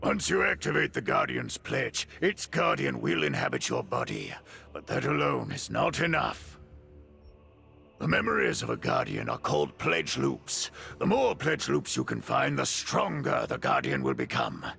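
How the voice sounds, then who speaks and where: A man playing a brash, boisterous rebel leader in a video game, with a gravelly voice and theatrical swagger. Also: medium pitch, huge pitch swings, normal speed, bright, forceful tone, exaggerated voice. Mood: commanding. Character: brash, boisterous resistance leader who relishes a fight. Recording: music under the voice.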